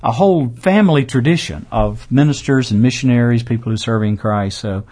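A male podcast host warmly welcomes his guest and draws him out with gentle questions. His voice sits at an ordinary middle pitch, easy and conversational. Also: fairly quick. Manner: gracious, encouraging questioner. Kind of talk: interview.

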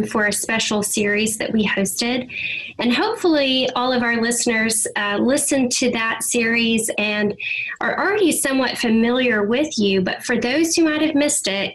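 A woman's voice: fairly high pitch, a bit bright, low-quality recording. A woman co-hosting a podcast who introduces the guest and asks him questions in a friendly way, with some hesitant fillers. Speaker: podcast co-host. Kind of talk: interview questions.

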